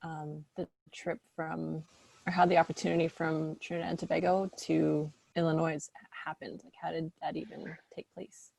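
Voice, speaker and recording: A woman hosting a podcast in a casual interviewing style, with a husky, creaky voice. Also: low voice, level tone, moderate pace, darkish tone, poor audio. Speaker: podcast host.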